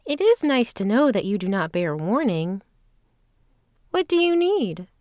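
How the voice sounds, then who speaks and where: A woman playing a calm, self-assured traveling performer in an audio drama, with formal, composed phrasing. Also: medium pitch, a little slow, dry recording, studio quality. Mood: composed, curious.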